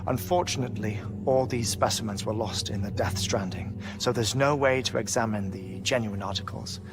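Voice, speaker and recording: A man playing an earnest, eccentric middle-aged scientist in a video game cutscene, lecturing deliberately with theatrical pauses. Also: lowish voice, natural voice. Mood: intent, persuasive. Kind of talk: cinematic video game acting. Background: music.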